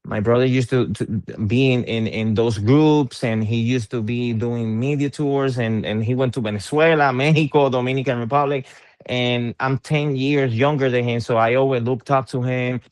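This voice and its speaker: A man's voice: medium pitch, fairly even intonation, normal speed, smooth, no echo. A middle-aged man from Puerto Rico, an interviewed musician, talking in a casual conversation, hesitant and full of fillers and repeated words.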